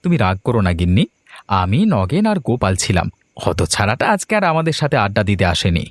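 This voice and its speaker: A man's voice: lowish voice, sing-song, quick pace, dry recording. A man voicing a sociable village trader husband in a cartoon folk tale, coaxing and appeasing in manner. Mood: chatty, excusing.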